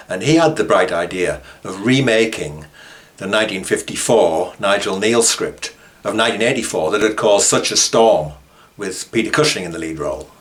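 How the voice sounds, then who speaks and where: A man's voice: sits low, fairly bright tone. A man presenting informal documentary commentary, mixing scripted points with conversational asides. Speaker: author and presenter.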